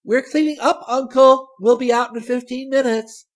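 An excitable teenage girl in a storybook, acted out by a male reader, pitched far up from his own voice. The delivery is bold and impatient, with exclamations and a slightly husky edge. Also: moderate pace, exaggerated voice. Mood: excited.

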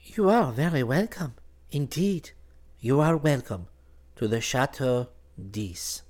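A man's voice: mid-range voice, expressive, slow, darkish tone, voice pushed up. A man voicing a gracious young French noblewoman falconer in an audiobook, with courtly, archaic phrasing sprinkled with French. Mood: gracious, soft. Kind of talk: audiobook character voice.

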